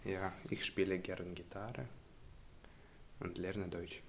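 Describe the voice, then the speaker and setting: Male, 17, Ukrainian accent. Deep monotone, short interview answers. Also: takes it slowly, creaky. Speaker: interviewee, refugee student.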